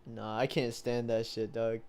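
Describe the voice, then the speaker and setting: A man's voice: mid-range voice, flat delivery, a little slow, a little mellow, a little hiss or hum. A young man co-hosting a casual comedy podcast, laid-back and teasing, cursing freely. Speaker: podcast co-host.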